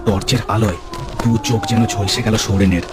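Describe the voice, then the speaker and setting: A man with a Bengali accent narrating a scripted horror story in a measured, suspenseful, dramatic way. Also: lowish voice, very noisy. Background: music.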